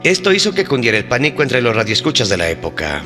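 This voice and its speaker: A man's medium-pitched voice rings with long reverb over faint music. He narrates a mystery story as its host, reading in a measured, suspenseful documentary style. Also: huge pitch swings.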